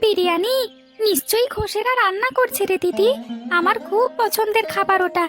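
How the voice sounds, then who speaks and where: An eager little brother from a village cartoon, played by a woman, with a very high voice. He sounds childlike and pleading. Soft music sits faintly behind him. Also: a little fast. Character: small, eager, hungry village boy, younger brother. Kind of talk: cartoon story dialogue.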